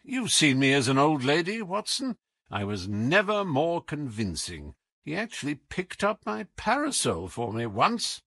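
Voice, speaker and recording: A man's voice: a little high, huge pitch swings, measured pace, fairly bright tone, dry recording, exaggerated voice. A man reading an audiobook as a whimsical, imperious detective with a British accent, theatrical, commanding and wry. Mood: animated, wry. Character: brilliant, whimsical, imperious male English detective.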